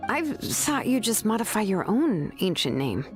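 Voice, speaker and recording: A woman's voice: medium pitch, very animated, measured pace. A woman voicing a cool, laid-back, pragmatic young artisan in a video game, speaking casually and matter-of-factly. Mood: serious, reluctant. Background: background music.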